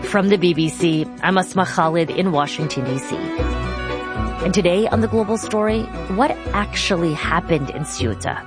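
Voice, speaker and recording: A woman's voice: on the low side, moderate pace. Woman hosting over a music bed. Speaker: podcast host. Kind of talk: scripted narration and interviewing.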